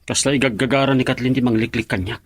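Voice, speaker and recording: A man's voice: mid-range voice, steady tone, fast, no echo, studio quality, lightly acted. A man playing an earnest, troubled young husband in a radio drama. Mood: pleading.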